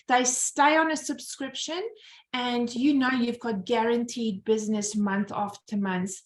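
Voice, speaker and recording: A South African woman in her fifties, telling her personal story in a presentation over a video call. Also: on the high side. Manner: earnest storytelling with rhetorical questions. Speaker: business owner giving a presentation. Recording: roomy echo.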